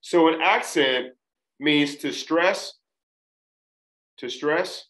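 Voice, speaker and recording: Guy from a trinidad family teaching vocab, slow careful enunciation, roomy webcam sound. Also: on the high side, fairly bright tone. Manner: deliberate, enunciating each syllable. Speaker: test prep instructor. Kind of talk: recorded lesson. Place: small room, indoors. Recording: echoey room.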